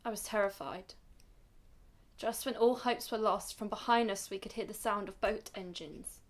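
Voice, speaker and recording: Radio drama grandmother, played by a woman: weary, dignified, solemn, mid-pitched, measured storyteller. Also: lightly acted. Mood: solemn, reflective. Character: weary, dignified Ethiopian-Israeli grandmother recalling her flight.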